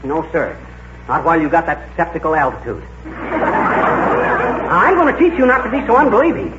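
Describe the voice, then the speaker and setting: Blustering, high-pitched radio husband played by a man. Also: lively intonation, normal speed, close to an everyday voice. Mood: boastful, cajoling. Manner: cocky, folksy, ungrammatical wisecracking. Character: boastful, blustering middle-aged husband, folksy schemer and wisecracker. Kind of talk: 1940s radio comedy acting. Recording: dull, echoey, hissy 1940s radio recording.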